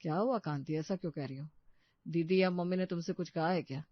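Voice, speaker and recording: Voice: medium pitch, brisk, no echo, highs cut off, lightly acted. A performer voicing a kind, reassuring young husband in a family story. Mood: caring.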